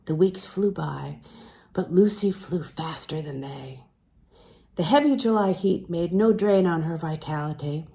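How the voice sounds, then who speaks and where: A woman reads a literary novel aloud in an expressive storytelling style, with dramatic phrasing that can soften into hushed tones. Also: fairly low pitch, measured pace. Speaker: audiobook-style reader.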